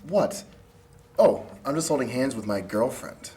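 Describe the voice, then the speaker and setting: A man's voice: medium pitch, lively intonation, unhurried, warm tone, a little hiss or hum, close to an everyday voice. A young man reading the part of an anxious, sardonic college student at a script table read. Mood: feigned nonchalance. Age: young adult.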